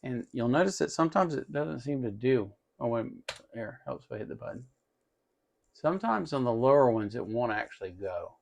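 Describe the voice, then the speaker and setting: Gravelly-voiced man giving a casual tutorial. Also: mid-range voice, lively intonation, moderate pace, a little mellow. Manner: informal, thinking aloud while working. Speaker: host of an electronics tutorial channel. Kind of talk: casual hands-on tutorial demonstration.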